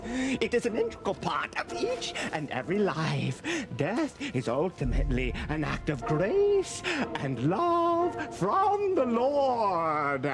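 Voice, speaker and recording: A man's voice: on the high side, heavily acted. An actor playing an eccentric, pious old doomsayer in a video game, rambling theatrically and drawing out his words. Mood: musing, defiant. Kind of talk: dramatic video game acting.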